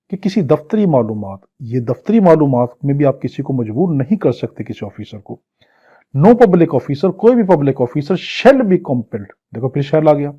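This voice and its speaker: A man's voice: a little high, warm tone, slightly rough. A man with a Pakistani accent giving an exam-prep law lecture, reading out clauses and explaining them phrase by phrase in a didactic way. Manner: didactic, repeats and rephrases phrase by phrase, poses questions and answers them. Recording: dull, muffled lo-fi audio.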